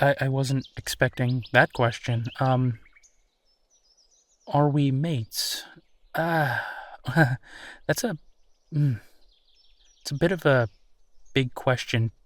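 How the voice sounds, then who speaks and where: A man playing a candid, awkward werewolf in an intimate audio roleplay, talking in a halting, conversational way with sighs. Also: medium pitch, lightly acted. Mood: flustered, hesitant. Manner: halting, hesitant, sighing, conversational. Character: easygoing young male werewolf, awkward, candid, bitter about rival.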